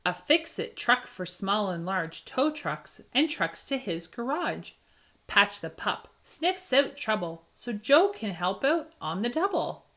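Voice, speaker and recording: A woman reading a children's picture book aloud with expressive, rhythmic phrasing and dramatic emphasis for young listeners. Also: mid-range voice, takes it slowly. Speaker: children's story reader.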